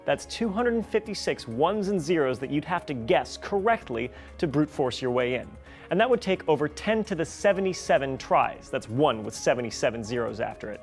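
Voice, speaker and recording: A man hosting a scripted science explainer in a wry, playful manner. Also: a little high. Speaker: science show host.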